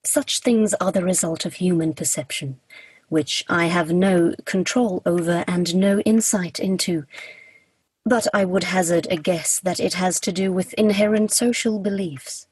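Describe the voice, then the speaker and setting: A woman acting Death in a radio play as a formal, literal, reserved figure with stiff, measured diction. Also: lowish voice, a little mellow, husky, rough recording.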